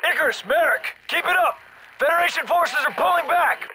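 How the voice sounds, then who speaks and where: Gruff soldier, high forceful urgent voice. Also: male voice, moderate pace, slight background noise, exaggerated voice, poor audio. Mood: urgent, commanding. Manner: clipped, urgent, barked radio orders. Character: gruff, commanding male special forces soldier, middle-aged. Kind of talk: military shooter game voice acting.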